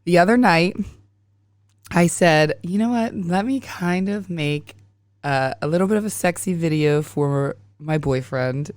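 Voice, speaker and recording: A woman in her thirties hosting a podcast, hoarse and raspy while getting over a sinus infection, chatting casually and laughing often. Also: fairly low pitch, unhurried, dry recording, clean studio sound. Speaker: podcast host. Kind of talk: casual chat.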